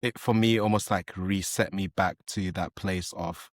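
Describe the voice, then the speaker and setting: A man from Zimbabwe co-hosting a podcast, earnest and halting, often repeating his words. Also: low voice, fairly even intonation, no room sound, studio quality. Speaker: podcast co-host. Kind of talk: podcast interview, casual chat.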